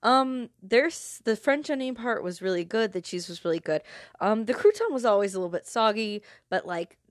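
A woman's voice: medium pitch, expressive, normal speed, dry recording, studio quality. A woman with a head cold, hosting her own podcast in a casual, rambling chat with lots of fillers and restarts. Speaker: podcast host.

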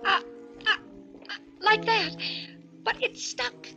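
Casting: fretful radio-drama wife, high-voiced, breathless, haunted by a nightmare, on a muffled vintage recording. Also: female voice, slow pace, creaky, sharp tone, exaggerated voice. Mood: dreamy, frightened. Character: anxious young wife, rattled by a nightmare. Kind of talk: 1940s radio drama acting. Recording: muffled old-time radio recording, music behind.

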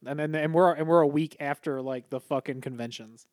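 Rambling young man, slightly high voice. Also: fairly even intonation, a little fast, darkish tone, no echo, studio quality. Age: young adult. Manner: rambling storyteller, swears casually. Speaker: podcast co-host. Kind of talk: casual chat.

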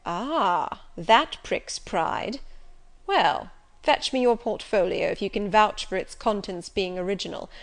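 A woman doing a brusque, commanding gentleman. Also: medium pitch, measured pace, lightly acted. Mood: imperious. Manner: commanding, sardonic, louder. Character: imperious older gentleman, brusque and probing.

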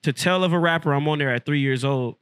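A man's voice: a little high, fast talker, fairly bright tone, clear, resonant tone, dry recording, clean studio sound. A man in his forties, a rapper chatting on a podcast in a brash, joking, laid-back way. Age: 40s. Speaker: rapper guest. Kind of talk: casual podcast chat.

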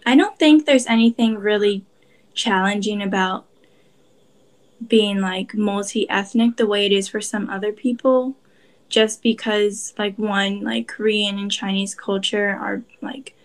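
A woman's voice: medium pitch, fairly even intonation, measured pace. A young woman answering interview questions about her heritage, speaking casually and filling her sentences with "like". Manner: casual, heavy use of "like". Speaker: interview guest, daughter.

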